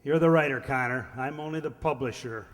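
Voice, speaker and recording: A man's voice: mid-range voice, fairly quick, lightly acted. A man playing a pompous, bossy magazine publisher in declamatory old radio-play style. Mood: pompous, commanding. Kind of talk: 1940s radio acting. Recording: echoey hall with long reverb.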